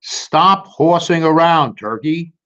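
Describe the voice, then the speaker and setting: Man doing blunt, high-pitched barnyard animals. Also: animated, slow pace, bright, forceful tone, heavily acted. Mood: scolding. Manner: exclaiming, bleating and crowing. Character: barnyard animals, blunt and scolding, spotting an impostor. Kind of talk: children's storybook read-aloud. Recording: dull lo-fi recording.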